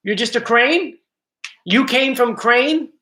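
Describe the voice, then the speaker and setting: Bright-voiced joking male stream host. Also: extremely high pitch, slow pace. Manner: chatty, joking, easily sidetracked. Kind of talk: casual live stream chat. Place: home, bedroom area. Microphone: computer mic.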